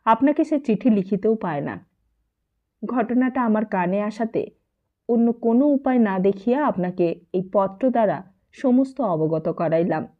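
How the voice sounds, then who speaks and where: A woman reads a literary novel as an expressive audiobook storyteller, carrying the dialogue within her narration. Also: medium pitch. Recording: muffled, narrow phone-like sound.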